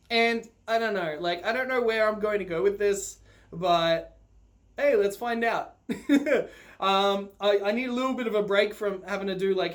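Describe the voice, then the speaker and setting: A vlogger chats casually to viewers with a mid-pitched voice. The delivery is rambling and informal, with loose swearing and a readiness to laugh, in the style of off-the-cuff personal storytelling.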